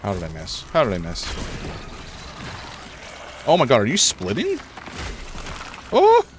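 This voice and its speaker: A man live-streaming a horror game, rambling through his commentary, chatting with viewers, and reacting loudly to scares, a little congested. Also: medium pitch, huge pitch swings, a little slow, background noise. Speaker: gaming streamer.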